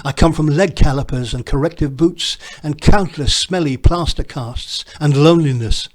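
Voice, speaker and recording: A man, reading his own poem in a measured, reflective way. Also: a little high. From northern England. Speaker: poet. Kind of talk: poetry reading.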